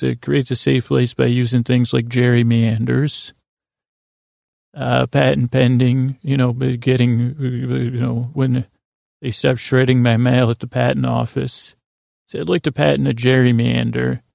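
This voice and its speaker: Gravelly, creaky man rambling softly through bedtime monologue. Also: medium pitch, no echo, clean studio sound. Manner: meandering, hesitant, halting asides; silly, whimsical, soothing intent. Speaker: podcast host.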